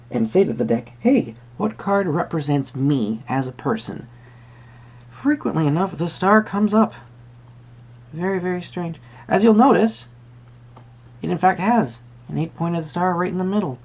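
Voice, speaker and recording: A performer playing a whimsical magical tarot reader, musing hesitantly in a soft-spoken roleplay with a creaky voice. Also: medium pitch. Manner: hesitant, musing, pausing with hums.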